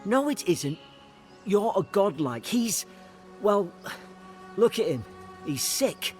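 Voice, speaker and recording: A loyal imperial soldier from a fantasy video game. He is earnest and wary, with a somewhat high voice for a man. Prominent music plays behind him. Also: very animated, slow pace, heavily acted. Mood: uneasy. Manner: earnest, cautious. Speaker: game character. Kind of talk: video game dialogue acting. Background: loud music.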